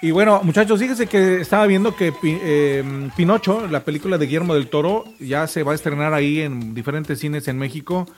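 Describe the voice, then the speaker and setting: A man's voice: on the high side, fairly quick, hardly any pauses, slight background noise. A man hosting a morning radio show in casual on-air chat.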